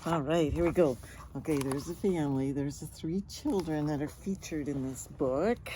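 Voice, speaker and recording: A woman's voice: sits low, normal speed, warm tone, slight background noise. A woman reading a children's picture book aloud with chatty asides, stretching words and sound effects expressively.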